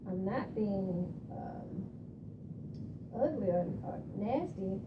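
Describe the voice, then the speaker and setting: A woman in her forties on a radio show, talking about her marriage reflectively, with pauses and restarts. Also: lively intonation. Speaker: guest on a talk show. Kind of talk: casual advice talk. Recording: distant muffled recording with rumble.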